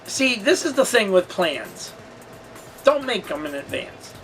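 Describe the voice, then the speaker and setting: High-voiced man, candid storytime monologue. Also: very animated, a bit bright, faint music behind. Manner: halting, candid, rambling. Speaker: storytelling vlogger and channel host. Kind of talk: personal storytime monologue, casual.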